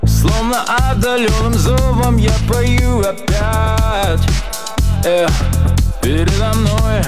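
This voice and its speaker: Slow-singing woman over loud electronic beat. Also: mid-range voice, steady tone. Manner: slow, drawn-out sung phrasing. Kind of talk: singing a pop track. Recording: loud electronic music backing.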